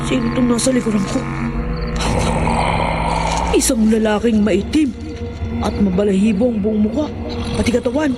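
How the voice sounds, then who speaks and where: An actor playing a vengeful, stubborn young Filipino man with intense melodramatic delivery in a horror audio drama. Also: high-pitched. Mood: awed, frightened.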